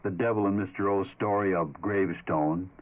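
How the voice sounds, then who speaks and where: A low male voice on a tinny old radio recording. He delivers scripted programme announcements as a radio announcer. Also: fairly even intonation, normal speed.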